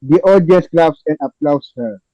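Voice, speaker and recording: A man's voice: on the high side, unhurried, dark tone. A man reading stage directions as scripted narration in a play table read, on a lo-fi recording.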